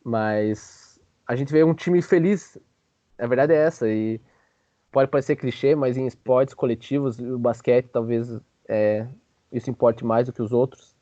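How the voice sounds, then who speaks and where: Mellow, casual male sports show host. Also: mid-range voice, unhurried, no echo, lo-fi sound. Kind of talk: casual basketball commentary.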